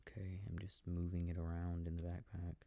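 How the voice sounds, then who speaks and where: A man softly rambling to himself as he plays a video game for a sleep aid, often dropping into whispers, with a breathy, gravelly voice close to the mic. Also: deep voice, completely flat delivery, brisk. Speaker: audio creator playing a video game. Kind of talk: soft rambling gameplay talk for a sleep aid. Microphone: close, dry microphone.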